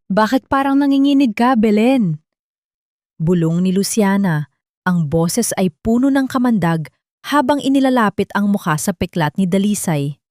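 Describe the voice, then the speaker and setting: A woman narrates an audio drama with a dramatic, scripted delivery. Her pitch is medium for a woman. The recording is very dry, with hardly any room in it. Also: darkish tone, no echo.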